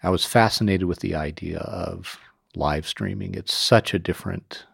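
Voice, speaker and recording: A man hosts a casual podcast in a low, raspy voice with plenty of fry. He tells things in an informal, expressive way, as conversation rather than script. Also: normal speed, no room sound, studio quality. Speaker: podcast host interviewing a guest.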